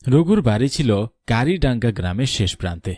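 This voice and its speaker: A man's voice: medium pitch, very animated, brisk, darkish tone, soft background music. A man narrating a scripted horror story as the narrator of a cartoon.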